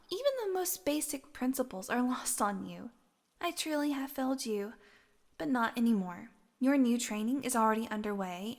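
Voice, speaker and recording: Casting: actress as possessive fantasy healer for audio roleplay. Female, high voice, condescending and coaxing, sweet with menace underneath. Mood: scolding, resolute. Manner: condescending, teasing, coaxing, with sighs and chuckles.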